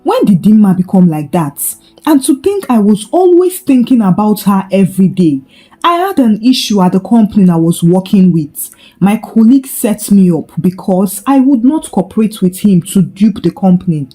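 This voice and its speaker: Woman acting an embittered, accusing husband, animated pitch. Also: normal speed, faint music behind. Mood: confused, bitter. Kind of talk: dramatised folktale storytelling.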